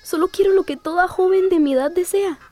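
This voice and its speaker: A woman's voice: extremely high pitch, brisk, darkish tone. An actress playing a timid, mistreated teenage girl in a radio drama, earnest and plaintive. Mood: relieved, hopeful.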